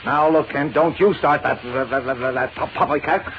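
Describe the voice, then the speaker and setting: An actor playing a gruff, irritable middle-aged editor who barks and stammers in exasperation, in 1940s radio drama style. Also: male voice, fairly high pitch, normal speed, close to an everyday voice. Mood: exasperated. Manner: blustery, barking, stammering when exasperated. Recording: noisy, muffled old radio recording.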